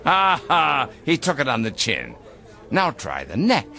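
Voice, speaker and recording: An actor playing a jovial, pompous boss cutting loose over drinks, with an expansive, playfully grandiose theatrical delivery. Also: male voice, fairly high pitch, huge pitch swings, a little slow, creaky, music in the background, heavily acted. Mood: gleeful. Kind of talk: theatrical radio drama acting.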